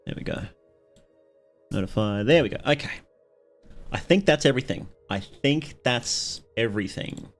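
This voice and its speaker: Male livestream host, mid-pitched, casual and halting, rambles and restarts sentences, faint music underneath. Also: animated. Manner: rambling, halting, self-interrupting. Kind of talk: casual livestream chat with viewers.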